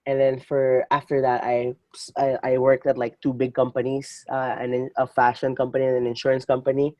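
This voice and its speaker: A man in his twenties from the Philippines, a podcast guest telling his story in lively casual talk full of fillers and restarts. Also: mid-range voice, fairly quick, dry recording, muffled recording. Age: 20s. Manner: energetic, many fillers and restarts, laughs often. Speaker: podcast guest, digital marketer. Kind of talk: casual interview chat.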